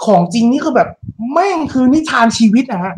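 A man co-hosting a podcast, chatting casually in a crude, slangy, excitable manner. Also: very high voice, a little fast. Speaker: podcast co-host. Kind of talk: casual chat.

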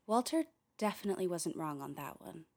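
A woman's voice: lowish voice, expressive, fairly quick, gravelly, darkish tone. A woman playing a candid, wry, uneasy young filmmaker who narrates a radio play in a confiding way, with tangents and swearing. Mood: uneasy, reflective. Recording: music behind the voice.

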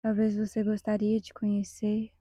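A Brazilian woman leading a guided meditation in a soft, breathy, half-whispered voice, calm and soothing, with long measured pauses. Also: mid-range voice, dry recording.

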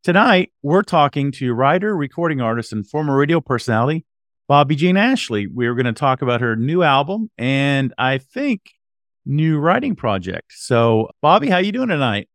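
A man hosts a casual interview podcast. His voice sits at a middle pitch, and the sound is dry with very little room. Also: big pitch swings, moderate pace, no echo. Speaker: podcast host.

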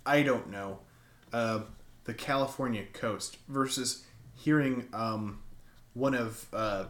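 A man's voice: mid-range voice, slow pace, a bit bright. A man co-hosting a podcast, playful and rambling, with mock-serious asides. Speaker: podcast co-host. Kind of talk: casual banter.

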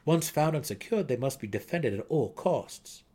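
A man voicing a modest male dark elf who speaks haltingly in a fantasy audiobook. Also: medium pitch, expressive, husky, pitched above the performer's own voice. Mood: measured. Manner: measured, halting, searching for words. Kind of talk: dramatic fantasy audiobook character voice.

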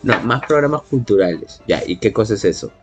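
A man's voice: on the low side, lively intonation, a little fast. A man discussing ideas casually as a podcast co-host, argumentative and fond of questions. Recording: music playing behind the voice. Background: background music.

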